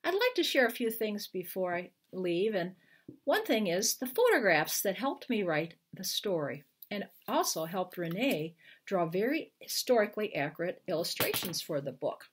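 Older woman, American accent, animated intonation, mid pitch, unhurried storyteller delivery, home webcam recording. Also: slightly rough. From Iowa, United States. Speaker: children's book author. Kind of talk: picture book read-aloud and informal talk to viewers. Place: living room at home, indoors. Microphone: webcam at arm's length.

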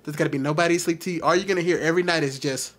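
A man hosting a casual video-call chat, teasing and blunt in an animated way. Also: a little high, racing. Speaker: commentary channel host.

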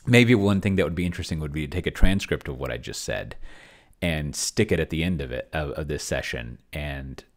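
A man's voice: extremely low pitch, animated, a little fast. A middle-aged man giving a casual, off-the-cuff review, rambling and hesitant as he thinks aloud into a close desk microphone. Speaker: solo role-playing video host. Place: small home office, indoors. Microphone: large desk microphone close to the mouth.

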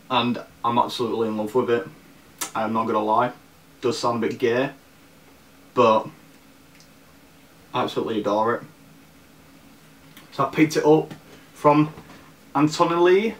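An eighteen-year-old man with a Northern English accent from Leeds, talking casually and haltingly to camera as a fashion vlogger. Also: medium pitch. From Leeds, northern England. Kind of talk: casual product review to camera. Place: small bare room indoors. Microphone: camera mic at a distance. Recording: echoey room, distant mic, background hiss.

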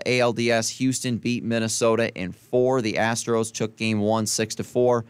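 A young man hosts a college radio sports podcast, reading off game times and scores in a loose, chatty way and breaking off for quick asides. His voice is smooth and resonant. The close studio mic sounds dry and tight. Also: fairly low pitch, no echo. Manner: casual, chatty, self-correcting with asides. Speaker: podcast host. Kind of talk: solo sports podcast rundown.

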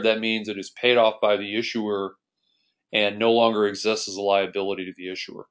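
A man's voice: on the low side, fairly bright tone. An American man giving an explanatory screencast lesson as an accounting instructor, explaining methodically and step by step.

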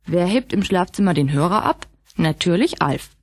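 A woman's voice: lowish voice, animated, moderate pace. A woman narrating an audio drama from a script in a storytelling style. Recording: faint hiss on old cassette.

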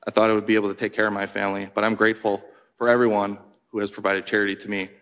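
A man in his thirties from Wisconsin testifying at a hearing desk microphone, giving terse, quiet, measured answers. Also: lowish voice, level tone. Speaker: whistleblower witness. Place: congressional hearing room.

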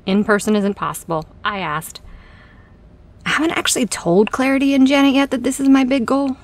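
A woman's voice: high voice, fairly quick, background noise, lightly acted. A woman playing a frank, dry-humoured boss in an audio drama, speaking in a casual, candid way. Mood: frustrated. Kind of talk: audio drama acting, conversational.

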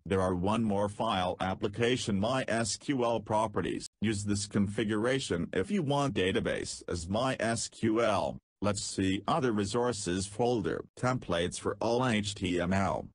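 Tutorial narrator: man, low husky voice, terse step-by-step delivery, dry close recording. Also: a little slow, few pauses, dry recording. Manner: terse, step-by-step instructions with non-native phrasing. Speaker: programming tutor.

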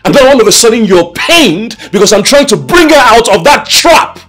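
A defiant, lovesick young man, played by a young actor, with a husky voice whose pitch swings widely as he lectures and accuses. Faint music sits under him. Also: big pitch swings, normal speed, fairly bright tone, exaggerated voice. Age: young adult. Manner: earnest and confrontational, lecturing. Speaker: son in love with a girl.

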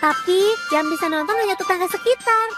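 A small female robot cat in a cartoon. The voice is childlike and extremely high, explaining things in a helpful, sensible little-sister way. Loud music runs underneath. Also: a little fast. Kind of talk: animated cartoon dub acting. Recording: music behind the voice.

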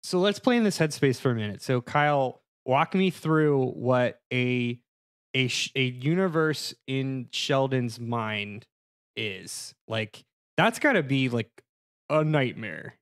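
A man's voice: medium pitch, expressive, takes it slowly. Man hosting a playful panel chat. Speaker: podcast host. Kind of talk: casual panel discussion.

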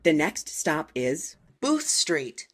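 Slow, low-voiced woman announcing trains. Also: big pitch swings, breathy edge. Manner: formal, segmented phrasing pieced from clips. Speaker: transit announcer. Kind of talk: scripted automated train station announcement.

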